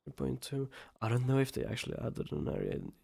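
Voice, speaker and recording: A man's voice: mid-range voice, wildly swooping pitch, fast talker, gravelly, mellow, breathy edge, no room sound, studio quality. A man with a Swedish accent chatting casually on a gaming livestream, hesitant and rambling as he thinks aloud. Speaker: live streamer.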